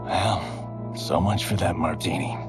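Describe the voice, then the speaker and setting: A man's voice: low voice, slow pace. An actor playing a cocky, joking male movie star in a game cutscene. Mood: wry. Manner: joking, flippant. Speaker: wisecracking action movie star. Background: orchestral game music.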